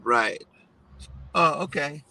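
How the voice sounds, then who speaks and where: A man from Iran, raised in Germany, talking in a relaxed conversation with many hesitant fillers and restarts. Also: fairly high pitch, slow, vocal fry. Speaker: guest. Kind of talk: casual conversation.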